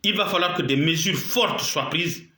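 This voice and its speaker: A man's voice: a little high, normal speed, pushed and bright, roomy sound. A man with a cold and a sore throat, giving an indignant video statement and repeating his points for emphasis. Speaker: political commentator.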